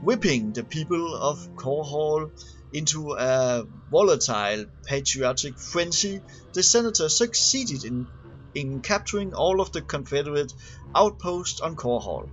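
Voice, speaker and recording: A man with a slightly high voice narrates from a written text. His reading is halting, with words repeated and restarted. The recording sounds dull and homemade, with music playing faintly behind him. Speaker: amateur reader of game lore. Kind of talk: scripted narration, reading a game manual aloud. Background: background music.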